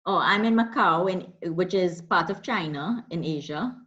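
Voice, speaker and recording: A woman's voice: fairly low pitch, moderate pace, fairly bright tone, dull audio. A woman from Trinidad and Tobago, a guest in a casual interview, talking in a friendly, chatty way.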